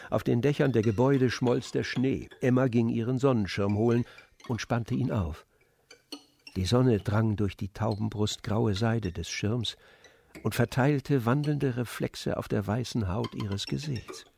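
A man narrating a literary story in a measured, descriptive manner. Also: mid-range voice, creaky, darkish tone, slight background noise.

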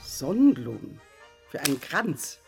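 A woman's voice: low-pitched, sing-song, a little slow, creaky, darkish tone, faint music behind, heavily acted. An actress playing a fussy, condescending florist who questions her customer in a radio drama. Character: fussy, condescending female florist making wreaths.

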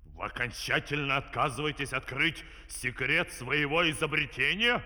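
Pompous dictator, ringing in a hall. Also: male voice, medium pitch, lively intonation, moderate pace, bright, forceful tone. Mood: menacing. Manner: pompous, commanding. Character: imperious villainous dictator, a man issuing curt orders. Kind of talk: theatrical children's audio play acting. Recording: 1970s vinyl record, long hall reverb.

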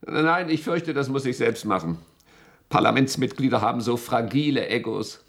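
An actor playing an impatient, domineering state security chief in a radio play, speaking in an insistent, irritable manner. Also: male voice, medium pitch, expressive, moderate pace, gravelly, a bit bright. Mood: weary, irritated. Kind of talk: German radio play acting.

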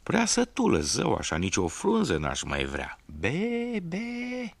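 A man's voice: low-pitched, wildly swooping pitch, gravelly, no echo, heavily acted. A man voicing a spiteful, lying she-goat who answers in sing-song rhymes and bleats. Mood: sly, singsong. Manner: sing-song rhyming replies ending in bleats.

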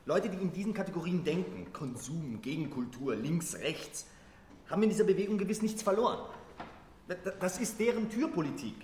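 A man's voice: high voice, animated, fairly quick, a little mellow. An actor playing a defiant, self-assured young male student in a radio drama. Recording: echoey room, faint noise.

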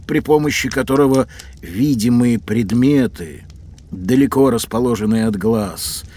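An actor playing a proud, absorbed Italian scientist of the seventeenth century in an educational audio drama, speaking deliberately and theatrically with pauses. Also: male voice, mid-range voice, a little mellow, slightly rough.

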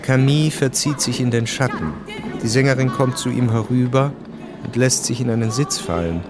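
A male narrator reads scripted prose for a historical radio drama. His voice is of medium pitch, with music playing clearly behind it. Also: moderate pace.

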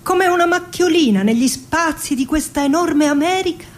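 An actress playing a playful, confessional woman poet recalling her childhood in an expressive theatrical radio monologue. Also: high-pitched, normal speed, lightly acted. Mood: confessional, dramatic.

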